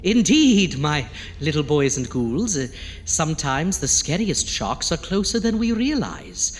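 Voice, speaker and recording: A man's voice: on the high side, big pitch swings, unhurried. A man playing a gleefully malicious, pompous undead horror host with a theatrical, arch delivery in a campy audio drama. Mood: gleefully sinister. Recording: long reverb, faint noise.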